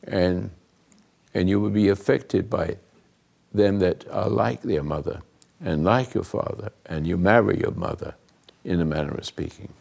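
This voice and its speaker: An older man hosting a talk, giving rambling, earnest moral teaching to a live group, often dropping into hushed asides. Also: low voice, unhurried. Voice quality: gravelly, husky. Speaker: host and spiritual counselor.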